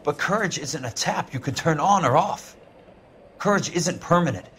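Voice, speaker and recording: An actor playing a world-weary war-veteran investigator in a video game, with an American accent and a blunt, hard-boiled manner. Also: male voice, fairly high pitch, animated, normal speed, a little hiss or hum. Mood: stern, philosophical. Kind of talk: cinematic video game acting. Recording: loud music behind the voice.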